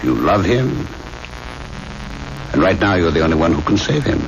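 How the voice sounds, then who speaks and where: An actor playing an insinuating military lieutenant who questions like an interrogator, in 1970s radio drama style. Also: male voice, low voice, very animated, normal speed, vocal fry, full character voice. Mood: pressing, menacing. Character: menacing, smoothly insinuating military lieutenant, a grown man. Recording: muffled, noisy old radio broadcast.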